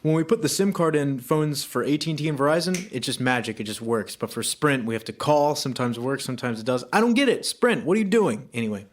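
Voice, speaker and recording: A young man co-hosting a podcast in casual comedic chat, joking, swearing and breaking into loud outbursts. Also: medium pitch, animated, fast talker, hardly any pauses. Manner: jokey, swears a lot, bursts into loud outbursts. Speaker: podcast co-host.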